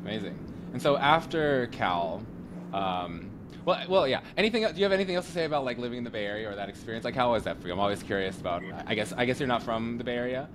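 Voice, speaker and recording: A man's voice: medium pitch, lively intonation, background noise. A man hosting an interview podcast, chatting casually and often restarting his sentences. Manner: friendly, halting with many restarts. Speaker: podcast host.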